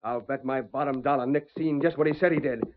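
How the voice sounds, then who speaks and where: A man's voice: mid-range voice, fast talker, natural voice. An actor playing a suspicious, grumbling outlaw henchman with folksy American cowboy speech in an old radio western. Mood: riled up. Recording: tinny, band-limited vintage radio recording.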